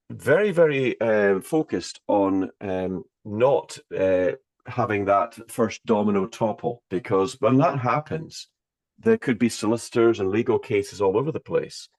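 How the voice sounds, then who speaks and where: A man hosting an interview, speaking in an emphatic, rhetorical way. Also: lowish voice. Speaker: interviewer, host.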